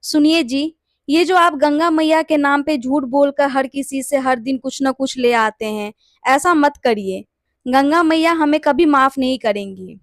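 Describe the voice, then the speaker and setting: A woman's voice: high-pitched, a little slow, dry recording, clean studio sound. A woman playing an honest village priest's wife in a cartoon bedtime story, reproachful and moralizing. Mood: pleading reproach. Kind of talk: animated bedtime story character acting.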